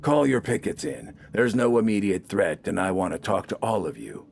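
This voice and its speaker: A man's voice: lowish voice, expressive, normal speed, vocal fry, darkish tone, pitched above the performer's own voice. A man voicing a blunt, war-weary young soldier with a growling, curt delivery in an audio story. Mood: curt, commanding.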